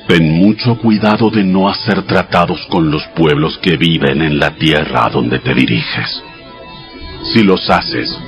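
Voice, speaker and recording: A man playing God as a stern, commanding deity in a dramatized audio Bible, solemn and measured, laying down law. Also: low voice, a bit bright. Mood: stern, warning. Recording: music bed behind the voice.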